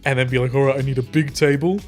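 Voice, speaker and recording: A man's voice: medium pitch, steady tone, fast talker, soft background music. A man playing an improvised role-playing game, chatting casually and thinking aloud in a tentative way. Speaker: tabletop role-playing player.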